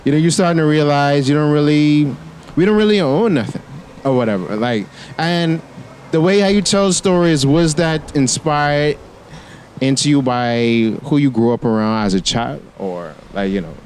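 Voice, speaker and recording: A man with a Bahamian accent mixed with American, hosting a podcast and asking loose, relaxed questions. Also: a little high, moderate pace, slight background noise. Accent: Bahamian with American mix. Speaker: podcast host. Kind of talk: casual interview talk.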